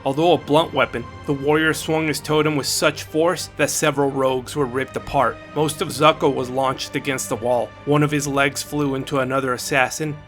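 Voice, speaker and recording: A man's voice: medium pitch, steady tone, normal speed, hardly any pauses, fairly bright tone, soft background music. A man reading scripted, dramatic fantasy narration as the story's narrator. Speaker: author and narrator.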